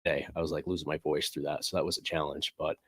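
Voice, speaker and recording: Man, very low voice, dry close sound, casual livestream host, rambling with fillers, slightly stuffy from a cold. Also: little pitch movement, quick pace, breathy edge, no echo. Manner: rambling, filler-heavy, reads chat aloud. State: recovering from a cold, sniffling. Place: indoor game room. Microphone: desk microphone.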